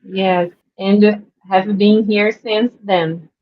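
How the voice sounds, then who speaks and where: A woman hosting an interview, asking questions in an unhurried, encouraging way. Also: mid-range voice, fairly even intonation, dry recording, highs cut off. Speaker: host.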